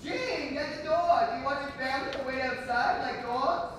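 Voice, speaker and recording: Woman playing a shrill, high-pitched nagging old wife. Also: moderate pace, fairly bright tone. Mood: bossy, nagging. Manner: scolding, querulous, emphatic. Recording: echoey hall, far mic, faint noise.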